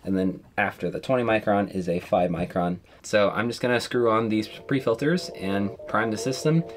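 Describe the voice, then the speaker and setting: A man in his thirties giving a relaxed step-by-step how-to explanation inside a small sailboat cabin. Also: lowish voice, normal speed. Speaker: sailing vlogger. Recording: background music over voice.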